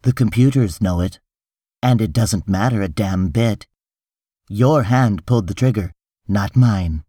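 A man's voice: on the low side, measured pace, mellow, no echo, clean studio sound. A man voicing an irritable, defensive official in a story reading, insistent and exasperated. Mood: cold, insistent. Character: tense, defensive, irritable male official making excuses.

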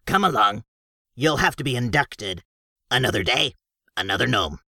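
A man's voice: medium pitch, lively intonation, moderate pace, gravelly, sharp tone, no echo, studio quality, exaggerated voice. A man voicing a blunt, cheerfully rude male gnome in an audiobook. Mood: gruff gleeful. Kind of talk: audiobook character voice.

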